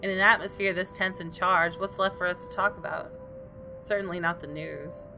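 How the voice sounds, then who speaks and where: Female game narrator: lowish register, expressive storytelling read, music bed underneath. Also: moderate pace, creaky. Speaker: gaming video host reading the story. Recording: music playing behind.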